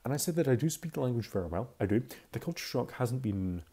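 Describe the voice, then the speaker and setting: A man's voice: mid-range voice, lively intonation, fast, warm tone, slightly rough. A twenty-year-old man with a Scottish accent, giving a casual, chatty and candid voice-over commentary. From Scotland. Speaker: video commentator.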